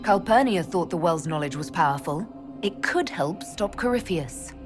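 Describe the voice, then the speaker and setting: An actress playing a composed, persuasive military leader in a video game, speaking in a firm, measured way. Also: female voice, fairly low pitch, expressive, over music, natural voice. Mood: urgent, firm. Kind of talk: dramatic video game dialogue acting.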